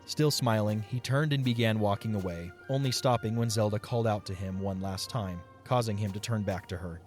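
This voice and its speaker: A man's voice: fairly low pitch, fairly quick. A man reading scripted story narration for a fantasy audio drama. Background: soft music.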